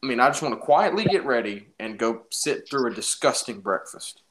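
A man's voice: mid-range voice, animated, a little fast, background noise. A young man casually telling an anecdote in an informal, jokey, exaggerating way. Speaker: storyteller in a podcast-style phone chat.

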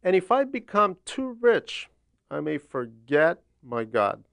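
Slow-paced man reading a homily. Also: fairly high pitch, very animated, vocal fry, a bit bright, clear, resonant tone, dry recording. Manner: deliberate, didactic, with frequent pauses between phrases. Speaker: homily reader and translator. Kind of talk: religious homily, read aloud.

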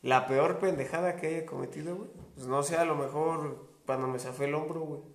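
A man's voice: fairly high pitch, steady tone, brisk. A young man with a Mexican accent telling stories in a joking, slangy way. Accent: Mexican Spanish. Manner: joking, slangy, laughs often. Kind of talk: casual storytelling chat. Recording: echoey room with faint noise.

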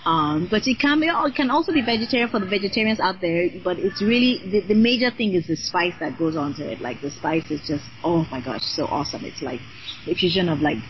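Chatty woman, low voice, lo-fi sound. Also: brisk, slight background noise. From Nigeria. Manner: energetic, chatty, many fillers. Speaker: podcast guest, singer and designer. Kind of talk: casual interview chat.

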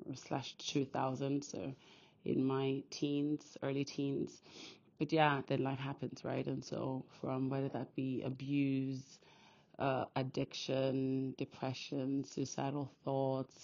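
A woman from Austria hosting a podcast, sharing her faith in an earnest, quick, casual monologue full of restarts. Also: rumbling low, a little mellow. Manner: earnest, talks fast with many restarts. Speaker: podcast host. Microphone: phone. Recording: dull phone recording.

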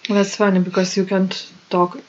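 A woman from Lithuania with a Lithuanian accent, co-hosting a podcast in casual chat, modest and quick to laugh. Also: medium pitch, little pitch movement, lo-fi sound. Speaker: podcast co-host.

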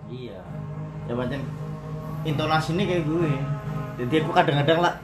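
A man's voice: fairly high pitch, moderate pace, echoey room, over loud music. A young man with a Banyumasan Javanese ngapak accent, giving short, reluctant answers as a podcast guest. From Cilacap, Central Java.

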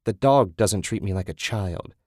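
A furious wife yelling back at her husband in an audiobook story, as a man reads her in a lifted voice. She is loud and defensive. The sound is very dry and close. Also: on the low side, expressive, moderate pace, dry recording. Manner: shouting, defensive, raised voice. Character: angry, exasperated wife in a marital fight.

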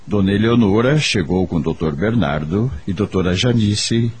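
A man's voice: on the low side, expressive, moderate pace, breathy edge. A man with a Brazilian accent narrating a radio drama from a script. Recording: loud music under the voice. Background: loud music.

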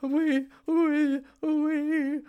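A man putting on a nervous stammering schoolboy, high and thin, storybook reading. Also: sing-song, normal speed, a little mellow, heavily acted. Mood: flustered. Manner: stammering, squeaky and fearful. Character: jittery timid schoolboy sidekick.

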